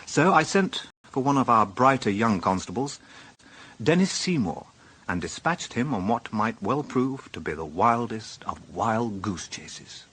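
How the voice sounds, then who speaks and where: A man's voice: fairly low pitch, lively intonation, unhurried, fairly bright tone, breathy edge, full character voice, low-quality recording. A British actor playing a dry, sardonic police inspector who narrates his own case in a radio drama. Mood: wry, reflective.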